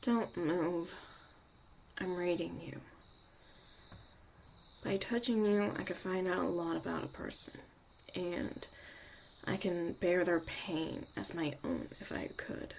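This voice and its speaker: Low, unhurried young witch played by a woman. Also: steady tone, vocal fry, darkish tone, a little hiss or hum. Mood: gentle, focused. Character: young witch mother, tough, protective survivor, wry and caring. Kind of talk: intimate ASMR roleplay monologue.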